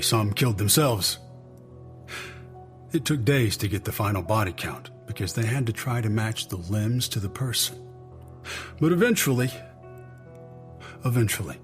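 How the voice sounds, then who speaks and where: A man's voice: on the low side, lightly acted. An actor playing a weary, skeptical male intelligence chief in an audio drama, clipped and sardonic with heavy sighs. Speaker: senior agency official.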